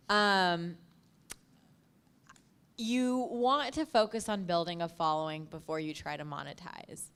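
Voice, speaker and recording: A woman's voice: fairly low pitch, a bit bright, smooth. A woman podcast producer on a panel, giving informal advice in a practical, instructive way.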